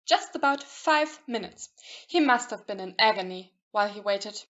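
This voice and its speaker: A woman's voice: medium pitch, expressive, normal speed, a bit bright, close to an everyday voice. A woman playing a matter-of-fact ship's doctor in an audio play, clipped and decisive, on a muffled recording.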